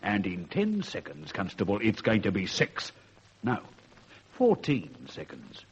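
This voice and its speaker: Coolly sardonic older male killer, radio play; rough-edged husky voice, wordy, dull recording. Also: lowish voice, huge pitch swings, full character voice. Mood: cold, bitter. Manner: measured, wordy, mock-courteous. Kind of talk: radio drama acting.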